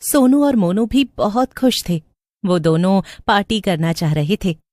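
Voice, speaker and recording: A woman narrating a cartoon horror story in a steady storybook manner. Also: mid-range voice, unhurried.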